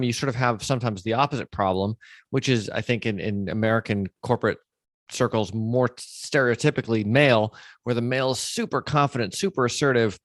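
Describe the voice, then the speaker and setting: A man's voice: mid-range voice, dry recording. A man hosting a podcast, interviewing conversationally and thinking aloud as he talks. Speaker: podcast host.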